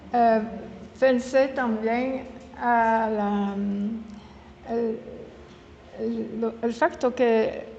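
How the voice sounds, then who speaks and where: A woman in her seventies from Switzerland, with a French-speaking Swiss accent and French as her first language, giving an informal public talk. She speaks haltingly, searching for words and correcting herself mid-word. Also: a little high, dark tone. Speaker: guest speaker. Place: meeting hall in an old house. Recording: reverberant hall with faint room noise.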